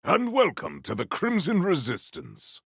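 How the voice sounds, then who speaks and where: Bombastic noble warrior, wildly swooping pitch. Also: male voice, wildly swooping pitch, unhurried, vocal fry, slightly rough, dry recording, clean studio sound, heavily acted. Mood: earnest, formal. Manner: pompous, formal, grandiose theatrical phrasing. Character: noble, bombastic, earnest axe-wielding warrior hero. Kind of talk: video game character voice acting.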